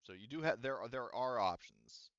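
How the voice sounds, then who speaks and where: A man's voice: mid-range voice, animated, moderate pace, fairly bright tone, no echo, highs cut off. A man running a tabletop game as game master, explaining the setting and rules while thinking aloud with lots of "um" and "like.". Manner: rambling, thinking aloud with many "um" and "like".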